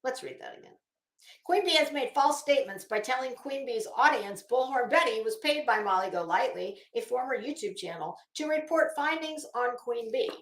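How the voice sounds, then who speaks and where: High-pitched, brash woman in an echoey room. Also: expressive, normal speed, a bit bright. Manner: sarcastic, brash, profane, theatrical. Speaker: YouTube commentary host. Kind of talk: dramatic reading with snarky ad-lib commentary.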